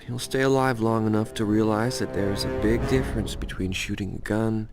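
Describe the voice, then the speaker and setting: Guy voicing a cocky young hitman narrating a game, lowish gravelly voice, sardonic and crude, loud music behind him. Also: fairly even intonation, a little fast, natural voice. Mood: boastful, wry. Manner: sardonic, crude, direct address to the listener. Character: cynical, cocky young male assassin narrating his life. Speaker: narrator and protagonist. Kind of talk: scripted video game narration.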